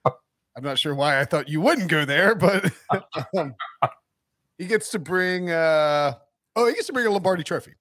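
A young man with a slightly raspy voice pitched a touch higher than most, recorded dry and close with no room sound. He banters on a sports podcast, laughs easily, and turns animated and quick when he jokes. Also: no room sound. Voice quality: called raspy by others. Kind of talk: casual banter, reading a report aloud.

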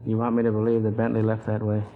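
A man's voice: on the low side, monotone, fast talker, natural voice. Man acting a weary hard-boiled radio detective, muffled. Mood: probing. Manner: terse, slangy, hard-boiled. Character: weary, blunt, dogged male detective on a manhunt. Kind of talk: 1940s radio drama acting. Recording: dull, noisy 1940s radio recording.

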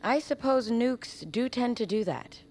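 A woman's voice: on the high side, expressive, a little slow, darkish tone. A woman acting as a weary, guilt-ridden explorer with a wry streak in a naturalistic audio drama. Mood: wry, defiant.

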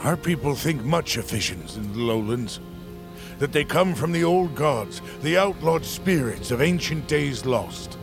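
An actor playing a humble, deferential lowland storyteller serving a young master in a fantasy audio drama, with formal, old-fashioned phrasing. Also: male voice, expressive, unhurried, creaky. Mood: gentle, solemn. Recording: music under the voice.

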